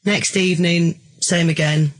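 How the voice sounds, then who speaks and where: A woman's voice: lowish voice, steady tone, unhurried, low-quality recording. An earnest, emphatic British woman recounting a personal experience as a guest in a radio interview.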